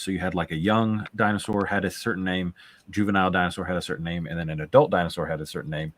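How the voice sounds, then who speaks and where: Low-voiced man explaining things casually. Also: no room sound.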